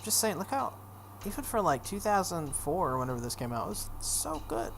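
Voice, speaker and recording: A woman's voice: deep voice, sing-song, a little fast. A woman hosting a video game playthrough, reading a visual novel aloud expressively with offhand casual asides. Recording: some background noise.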